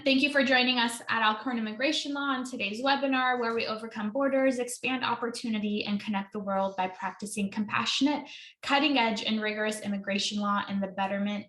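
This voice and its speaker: A woman's voice: on the high side, pushed and bright, roomy sound. A woman in her thirties, an immigration attorney, presenting a webinar in a chatty way with many ums and self-corrections.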